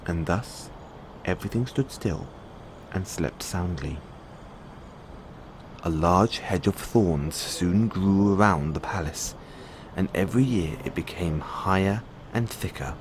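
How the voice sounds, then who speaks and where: A man reading a bedtime fairy tale as a storyteller, in measured storybook narration. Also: sits low, low-quality recording. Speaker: storyteller playing a boyfriend in audio roleplay. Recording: clearly audible background noise.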